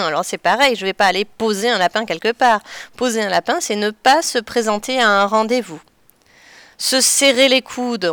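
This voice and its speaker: A woman addresses children as their teacher, patient and deliberate as she explains. Her voice is smooth and clear. The sound is dry and close. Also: mid-range voice, a bit bright, dry recording. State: slightly stuffy from a cold. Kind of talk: children's lesson on figurative expressions.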